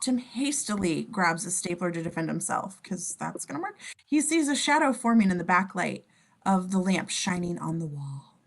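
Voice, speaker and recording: A woman's voice: on the low side, very animated, normal speed, creaky. A woman reading scene descriptions for a table read, informal and given to wry asides. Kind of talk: scripted narration in casual table read.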